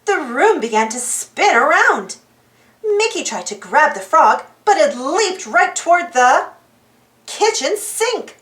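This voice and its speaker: A woman reading a children's storybook aloud as narrator in an animated style. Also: high voice, takes it slowly, reverberant.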